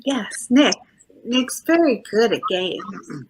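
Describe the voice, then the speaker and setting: A woman playing a gentleman detective's teasing wife in a 1940s radio drama, with an arch, coaxing manner. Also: fairly high pitch, sing-song, takes it slowly, slight background noise, rough recording.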